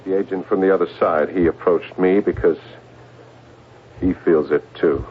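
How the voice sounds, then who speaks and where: A troubled, fearful man, played by a male radio actor, speaks in a low voice. He hesitates and repeats his words as he confides. The old radio drama recording is thin and tinny, with some hiss. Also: fairly even intonation, normal speed, close to an everyday voice. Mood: anxious, confessing. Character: troubled, fearful man on a secret psychic project. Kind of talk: 1970s radio drama acting.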